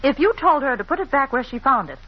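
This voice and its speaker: A woman's voice: sits high, big pitch swings, fast talker. Anxious, determined young woman sleuth. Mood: excited, urgent. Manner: urgent, earnest, dramatic. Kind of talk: old-time radio mystery acting. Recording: muffled, noisy old radio recording.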